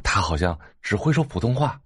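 Woman doing a crude teenage boy classmate in an audio drama, voice dropped low and blunt, husky, with faint music behind. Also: big pitch swings, a little fast, full character voice. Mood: gossiping.

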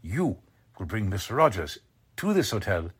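An actor playing a smug, courteous Nazi commander who speaks deliberately, in the manner of 1940s radio drama. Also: male voice, on the low side, big pitch swings, breathy edge, heavily acted. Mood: coolly scheming. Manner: courteous, deliberate, self-satisfied. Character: smug, scheming male Nazi spymaster, a commanding officer.

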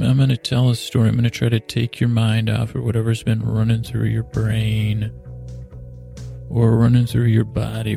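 A man hosting a bedtime podcast in a meandering, halting monologue meant to soothe, often interrupting himself, with a gravelly, husky voice. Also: mid-range voice, dark tone. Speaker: podcast host.

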